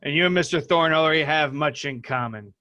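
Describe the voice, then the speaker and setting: A genial old police commissioner, acted by a man at a table read. He has a wry manner and a forceful, slightly high voice. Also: fairly quick, muffled recording.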